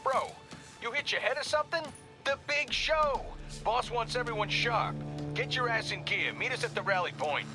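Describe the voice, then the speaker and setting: A pushy American gangster lackey from a video game, with a notably high-pitched voice for a man. He talks in blunt street slang, impatient and bossy, with music clearly playing behind him. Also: moderate pace. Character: brash, impatient male mob henchman on the phone.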